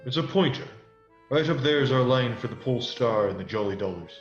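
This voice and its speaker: A man's voice: mid-range voice, expressive, brisk, close to an everyday voice. Radio play role: wily pirate ringleader male actor, old sea-dog dialect, persuasive, muffled and reverberant. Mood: calculating. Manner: rustic old-sailor dialect. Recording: muffled, echoey audio under loud music.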